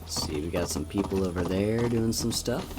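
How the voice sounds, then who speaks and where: A man streaming a video game, chatting casually in a relaxed, soft-spoken and musing way as he plays. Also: low-pitched, normal speed, heavy background noise. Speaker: VTuber streamer. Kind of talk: casual gameplay commentary. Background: game music.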